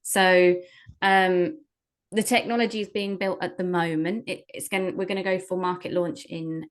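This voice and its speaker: A woman's voice: lowish voice, little pitch movement, normal speed. A woman in her thirties, an entrepreneur answering interview questions with many fillers and restarts. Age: under 40. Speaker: entrepreneur guest.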